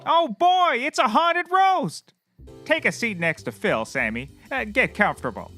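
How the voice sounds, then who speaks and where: A bright, high, swooping male voice for a deluded oddball private eye, acted by a man. He is excitable, boastful and blissfully oblivious, in the manner of audio comedy, with some music behind. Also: a little slow, exaggerated voice. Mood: excited. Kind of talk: scripted comedy audio acting.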